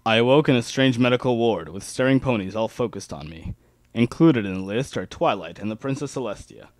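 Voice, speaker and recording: A man's voice: mid-range voice, expressive, fairly quick, dry recording. A man reading first-person fan fiction aloud as an amateur dramatic narrator.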